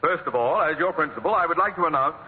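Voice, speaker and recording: An actor playing a pompous, officious school principal in 1940s radio sitcom acting. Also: male voice, on the high side, lively intonation, fast, a little hiss or hum, lightly acted. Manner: pompous, formal speechmaking. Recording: tinny old radio broadcast with audience.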